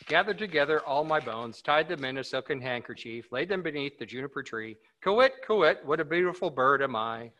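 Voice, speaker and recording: A mournful magic bird from a fairy tale, singing its grim lament in a slow, chanting sing-song, as a man reads it aloud. The voice sits fairly high for a man and sounds bright and ringing. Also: animated, low-quality recording. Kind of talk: amateur group read-aloud of a fairy tale.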